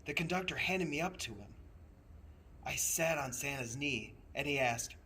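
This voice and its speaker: A man narrating a children's story expressively, in a theatrical, deliberate way with dramatic pauses. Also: fairly high pitch, expressive, bright, forceful tone, slight background noise. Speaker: storyteller playing a train conductor.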